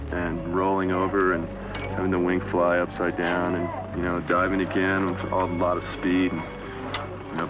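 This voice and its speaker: Deep, flat-toned man, dull recording. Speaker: hang glider pilot being interviewed. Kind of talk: unscripted interview talk. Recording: dull sound with music over it. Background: background music.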